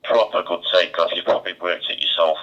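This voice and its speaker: A man's voice: sits low, steady tone, a little fast. A man chatting casually as an amateur radio operator, heard over a two-way digital radio. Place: mobile radio station. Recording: dull, band-limited two-way radio transmission.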